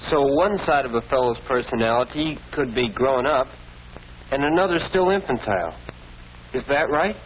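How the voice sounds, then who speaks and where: A man's voice: medium pitch, lively intonation, normal speed, close to an everyday voice. Earnest American schoolboy, acted by a young man, old film hiss. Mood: earnest, curious. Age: teenager or young adult. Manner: earnest, deferential. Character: earnest, polite teenage American schoolboy, self-critical. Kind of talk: 1940s educational film acting. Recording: noisy old 1940s film soundtrack.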